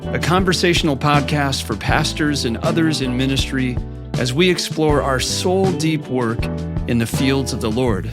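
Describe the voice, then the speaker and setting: A man hosting a conversational podcast for pastors, reading a measured introduction and then asking questions. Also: medium pitch, loud music behind the voice. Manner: measured, warm formal welcome, reads intro. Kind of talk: scripted intro then interview.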